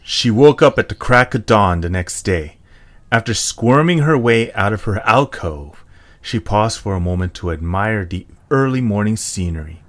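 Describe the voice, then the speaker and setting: A man's voice: lowish voice, lively intonation, moderate pace, a bit bright. A man narrating a scripted story.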